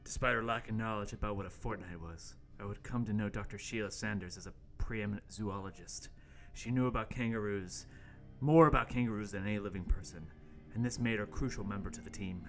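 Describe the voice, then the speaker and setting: A man's voice: lowish voice, a little fast, faint music behind, lightly acted. A man playing an earnest young intern, narrating an amateur radio play with overwrought seriousness. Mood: solemn narration. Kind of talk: amateur radio-play table read, first-person narration.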